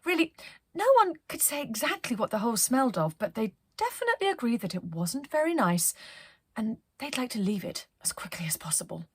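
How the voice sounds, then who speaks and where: A woman narrating a children's story as a storyteller, in an expressive read-aloud style. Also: fairly high pitch, brisk, slightly rough, no room sound, studio quality.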